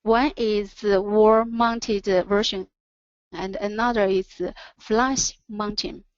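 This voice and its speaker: A woman who speaks English as a second language presents a product in a webinar, haltingly, pausing between short phrases. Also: mid-range voice, dry recording. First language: not English. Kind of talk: product presentation over slides. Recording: dull, lo-fi online audio.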